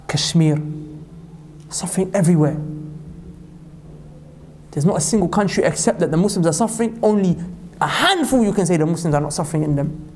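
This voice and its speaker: Fast-talking impassioned man preaching. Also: a little mellow, slight background noise. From Pakistan. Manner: impassioned, rising to high emphatic peaks; quick recitation of scripture. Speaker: Islamic preacher. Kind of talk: sermon.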